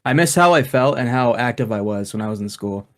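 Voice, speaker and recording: A man co-hosting a casual podcast, thoughtful, clearing his throat as he loses his voice. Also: medium pitch, animated, a little fast. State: losing his voice. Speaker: podcast co-host.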